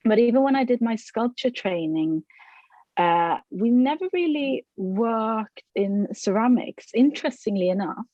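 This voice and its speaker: A middle-aged woman from Sweden, a potter answering interview questions thoughtfully, with frequent pauses, fillers and restarts. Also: fairly high pitch, expressive, mellow, dry recording, muffled recording. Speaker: ceramic artist, interviewee.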